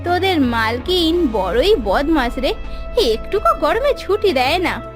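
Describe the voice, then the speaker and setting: Cartoon village maid, acted by a woman: very high voice, gossipy, weary, complaining to her friend. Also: very animated, takes it slowly, over music, full character voice. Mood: chatty complaining.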